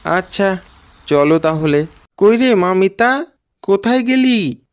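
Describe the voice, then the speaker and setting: A man's voice: sits high, lively intonation, slow pace, clear, resonant tone, voice pushed up. A man voicing a poor, kindly woodcutter father in a cartoon story, homely, weary and dutiful. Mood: homely, resigned.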